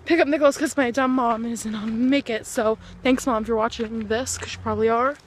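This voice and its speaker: A giggly teenage girl talking close into her phone, with a fairly high voice and a faint hiss behind her. Loose, slangy vlog chatter full of fillers. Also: steady tone, fairly quick. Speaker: vlogger. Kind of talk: casual vlog chat. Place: outdoors in a cold yard, then a restaurant, then a bedroom. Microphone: phone or webcam held close.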